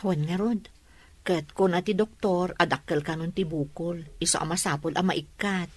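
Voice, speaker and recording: A woman with an Ilocano accent playing an ailing but resolute mother in a radio drama, speaking earnestly in a plaintive, measured way. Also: lowish voice, a little mellow, slight background noise, close to an everyday voice. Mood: resigned, steady.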